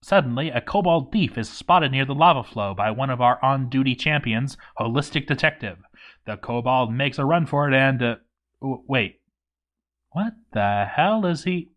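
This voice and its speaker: A man's voice: mid-range voice, expressive, normal speed, fairly bright tone. Guy telling a story all sardonic and sweary, voice goes up and down a lot. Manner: wry, sardonic, profane. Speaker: narrator reading a game playthrough log. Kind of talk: dramatic comic storytelling, scripted narration.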